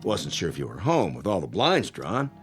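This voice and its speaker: An actor in a radio drama playing a prying colleague and suspected informer, falsely casual and insinuating. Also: male voice, low voice, wildly swooping pitch, moderate pace, a bit bright, faint music behind, full character voice.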